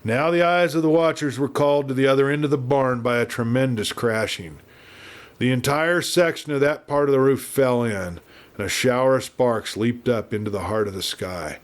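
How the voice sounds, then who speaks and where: A man reads a Western adventure as an audiobook narrator. His pitch is mid-range for a man. The delivery is dramatic and theatrical, shaped as scripted storytelling. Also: moderate pace.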